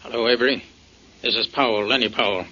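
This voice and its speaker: A man's voice: medium pitch, steady tone, fast, natural voice. An actor playing a confident businessman in a 1940s radio drama. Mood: businesslike, assured. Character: confident businessman carrying cash to a deal. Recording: muffled, hissy 1940s radio recording.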